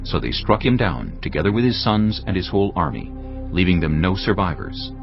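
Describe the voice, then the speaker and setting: A man with an extremely deep, gravelly voice narrates scripture in a dramatized, scripted style. His intonation moves widely. The audio is slightly dull, with soft music beneath. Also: expressive, fairly quick.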